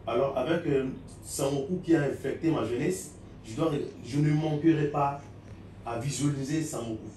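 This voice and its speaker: A man's voice: mid-range voice, normal speed. A man with a Congolese French accent making informal panel remarks as a comic artist. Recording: echoey room, distant mic, loud noise.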